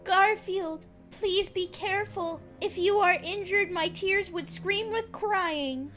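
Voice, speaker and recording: Super high slow girly lady voice, swoony n lustful, parody story. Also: sharp tone, faint music behind, full character voice. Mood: swooning worry. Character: swooning, lustful, fretful colonial ladies, high feminine voice.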